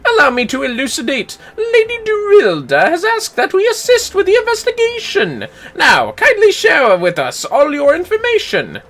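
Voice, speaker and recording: An excitable gentleman inspector from a video game, pitched far up and swooping wildly. The delivery is pompous and grandiose, bright and forceful, every phrase a flourish. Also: moderate pace, hardly any pauses, full character voice. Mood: eager. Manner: flowery, theatrical, grandiose. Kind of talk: video game dialogue read aloud.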